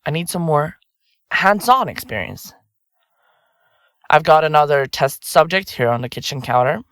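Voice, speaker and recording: A chirpy, remorseless woman who kills for her podcast. Her delivery is very animated and theatrical, sliding up and down with blithe self-regard. It is audio drama acting in a dry recording space. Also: low-pitched, moderate pace, dry recording. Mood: absorbed, detached. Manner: blithe, chatty, flippant, theatrical. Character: chirpy, self-absorbed female podcaster and remorseless serial killer. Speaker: suspect under interrogation.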